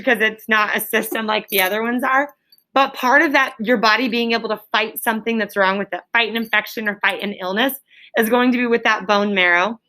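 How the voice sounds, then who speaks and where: A woman with a fairly high, very bright and forceful voice leads a classroom lesson as a schoolteacher. She prompts with questions, repeats them for emphasis and checks in with short tags. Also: rough recording. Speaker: elementary school teacher. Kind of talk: classroom question-and-answer teaching. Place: classroom with pupils.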